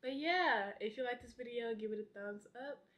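Bright-voiced young woman chatting to camera. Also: fairly high pitch, normal speed. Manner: relaxed, chatty, talks straight to camera with slang. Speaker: vlogger. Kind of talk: casual vlog chat. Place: small apartment kitchen indoors.

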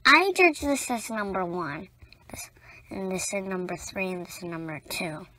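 A woman reading a children's picture book aloud as a storyteller, in deliberate, spaced-out phrases with short asides to young listeners. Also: mid-range voice, animated, bright, forceful tone, dry recording, rough recording.

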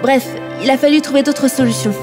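A woman delivering scripted comedic narration for a radio serial in a deadpan manner. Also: sits high, fairly quick, music in the background.

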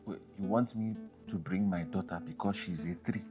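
A man's voice: sits low, normal speed, over music, natural voice. A male actor with a Nigerian accent playing a harried, exasperated father in a screen drama, repeating himself as he pleads and scolds. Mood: curt disbelief. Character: harried, exasperated father struggling alone with his children. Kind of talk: naturalistic screen drama acting.

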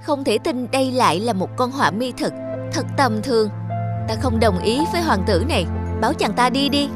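A haughty young cartoon princess, played by a woman, speaks in a high voice. She is spoiled and imperious, giving orders in exclamations. Music plays clearly behind her. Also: animated, fairly quick, close to an everyday voice. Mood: scornful.

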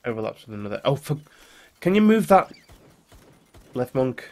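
A man's voice: mid-range voice, big pitch swings, moderate pace, a little mellow. Stuffy-nosed man chatting over a game. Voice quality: stuffy, congested. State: has a cold, run down. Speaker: gaming video host. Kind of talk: casual co-op gameplay chat.

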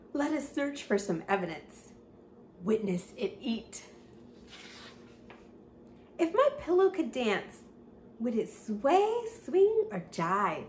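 Casting: young woman, children's storyteller, very lively intonation, unhurried sing-song delivery, roomy sound. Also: on the high side. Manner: animated, sing-song, drawn-out emphasis on words. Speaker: children's read-aloud host. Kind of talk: expressive picture-book reading for children. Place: small indoor room with bookshelf. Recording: roomy echo, faint background noise.

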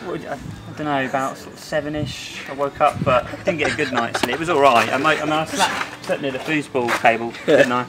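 A man's voice: mid-range voice, brisk, few pauses. A young man in a band chatting casually to a handheld camera, teasing and easygoing. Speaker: band member. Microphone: wide-angle handheld camera. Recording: music playing over the voice.

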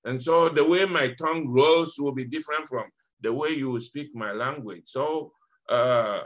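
A Ghanaian man with a bright, forceful voice at an ordinary male pitch speaks as a priest explaining scripture. His first language is Fanti, and his accent carries through clearly. He pauses and repeats words for emphasis as he talks to a small group over a video call. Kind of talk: informal scripture reflection to a group.

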